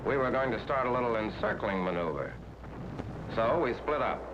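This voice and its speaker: A man's voice: on the low side, lively intonation, normal speed, heavy background noise, natural voice. A man playing a plain-spoken American infantryman, narrating a 1940s war documentary in colloquial language. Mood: grim pride. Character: plain-spoken American infantryman recounting his first battle. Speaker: film narrator. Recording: dull 1940s film soundtrack, music behind. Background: loud music, artillery fire.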